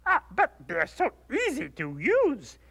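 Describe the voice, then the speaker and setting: A man's voice: extremely high pitch, huge pitch swings, a little slow, gravelly, a bit bright, heavily acted. An actor playing a furry blue monster salesman who pushes and over-explains his sunglasses. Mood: pushy. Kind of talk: puppet comedy sketch.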